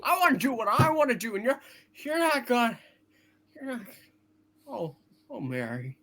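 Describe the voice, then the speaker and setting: Woman playing a flustered, stubborn young man, very animated. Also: on the high side, exaggerated voice. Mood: agitated. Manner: stammering, halting, blustery. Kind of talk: hammed-up amateur radio play reading.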